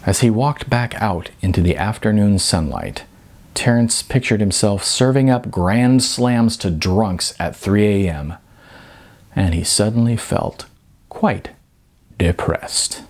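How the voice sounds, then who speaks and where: A man's voice: on the low side, slow pace, darkish tone. A man narrating his own novel as an audiobook, reading fiction as its author.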